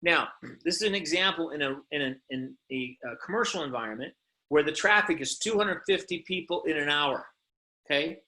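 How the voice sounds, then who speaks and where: A man's voice: fairly high pitch, lively intonation, a little fast, pushed and bright, poor audio. A man delivering an informal sales presentation in an emphatic, insistent manner, often punctuated with rhetorical questions. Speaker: company presenter pitching products.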